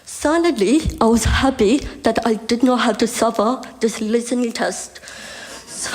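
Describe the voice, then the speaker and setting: A woman's voice: a little high, a little mellow, low-quality recording. Young woman, careful hard-of-hearing speech. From Burma. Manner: careful, deliberate delivery with small restarts. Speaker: conference speaker. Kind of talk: prepared speech.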